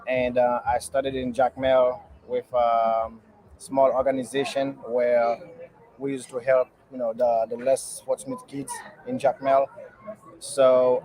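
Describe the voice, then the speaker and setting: Man, young adult, from Haiti, interview guest, halting, earnest, lots of fillers, speaking from a restaurant. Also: mid-range voice, darkish tone, poor audio. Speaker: guest entrepreneur. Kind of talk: casual interview answers.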